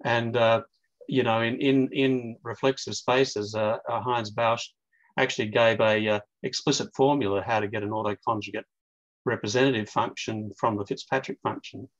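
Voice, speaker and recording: An older man chairing an academic session by video call, putting questions in a halting, circling way. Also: medium pitch, fairly even intonation. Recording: dull, lo-fi video call audio.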